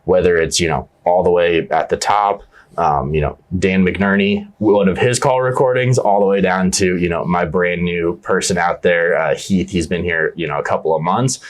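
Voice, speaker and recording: A man's voice: sits low, normal speed. A young man who leads a sales outreach team, answering interview questions earnestly and with enthusiasm. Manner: earnest and enthusiastic, repeats "yeah, absolutely". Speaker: team leader in agent outreach.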